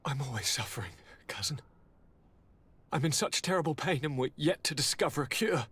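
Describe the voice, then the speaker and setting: Husky, weary young prince. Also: male voice, on the high side, lively intonation, moderate pace, a bit bright, full character voice. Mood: suffering, despairing. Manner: formal, theatrical, sighing. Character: sickly young prince, weary, courteous, bitter. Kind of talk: video game cutscene acting.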